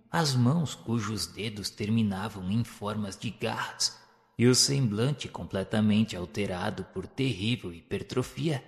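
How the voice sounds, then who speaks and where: A man giving a solemn, measured narration of a spiritual novel in a radio drama. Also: low-pitched, gravelly, a bit bright, long reverb tail. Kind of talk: scripted literary narration.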